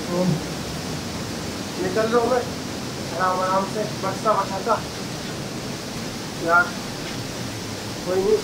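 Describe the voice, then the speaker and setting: A young man with a fairly high voice talks to his viewers while scrambling through a slippery cave, calling them guys and brother. He sounds tired and a little out of breath. The phone mic picks up loud rushing water all around him. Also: steady tone, heavy background noise. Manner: chatty, addresses viewers as guys and bhai. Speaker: travel vlogger. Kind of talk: casual selfie vlog narration. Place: wet rocky cave and forest trail outdoors, by a waterfall. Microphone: handheld phone camera at arm's length. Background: waterfall and stream.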